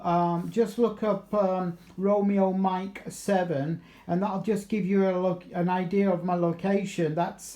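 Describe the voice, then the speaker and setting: Mellow southeast English man, roomy echo. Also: high voice. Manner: chatty, matey, with radio procedure words. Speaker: amateur radio operator. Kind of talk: casual radio contact chat. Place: kitchen at home.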